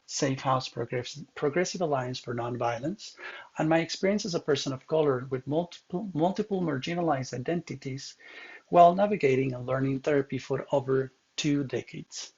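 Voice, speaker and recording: Gravelly man. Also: medium pitch, lo-fi sound. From Ecuador. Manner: measured, earnest reading with occasional slips. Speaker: counseling student. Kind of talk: read presentation narration.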